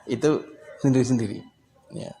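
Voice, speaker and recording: A man from Indonesia explaining a language point in a casual teaching manner, unhurried and in short phrases. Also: mid-range voice, warm tone, slight background noise. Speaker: English teacher.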